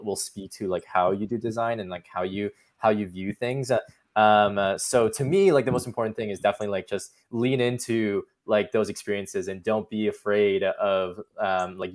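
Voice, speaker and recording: A man giving casual advice as a panelist, informal and halting, leaning on "like" as a filler. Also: lowish voice. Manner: informal, halting, heavy use of "like". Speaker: panelist, product designer.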